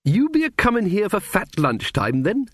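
A woman's voice: medium pitch, huge pitch swings, normal speed, slightly rough, no room sound, studio quality, voice pushed up. A man voicing a proud, knowing middle-aged woman cook with folksy, emphatic dialect for a storybook. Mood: sly, knowing.

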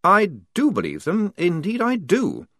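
A man's voice: on the high side, sing-song, moderate pace, fairly bright tone, dry recording, clean studio sound, voice pushed up. A man voicing a nervous but defiant young army lieutenant in a storybook, with precise, officious speech.

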